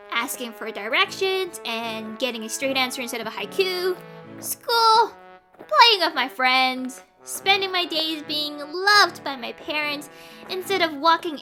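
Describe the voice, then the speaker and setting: A woman plays a sardonic, ill-tempered cartoon girl adventurer. The voice is high and bright, swinging widely in pitch as it gripes and threatens with theatrical sarcasm. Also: full character voice. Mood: bitter ranting. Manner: sardonic, theatrical, drawn-out complaining. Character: cranky, violent, sarcastic girl adventurer stuck in fairyland. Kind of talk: comic fan-dub voice acting.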